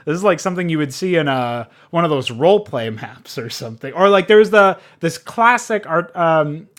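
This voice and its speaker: A man's voice: fairly high pitch, animated, moderate pace, fairly bright tone. A man giving a casual, unscripted game review, rambling and informal, with frequent swearing.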